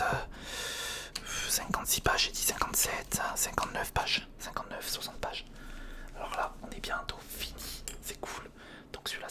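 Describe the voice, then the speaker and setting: Whispering man, ASMR build commentary. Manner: murmurs to himself while thinking through steps; informal, chummy address to the viewer. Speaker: ASMR video host.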